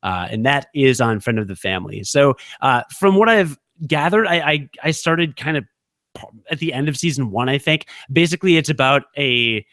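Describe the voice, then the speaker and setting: Rambling podcast host, dry close mic. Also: male voice, medium pitch, normal speed, fairly bright tone, dry recording. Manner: rambling, restarts, many fillers. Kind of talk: casual podcast chat.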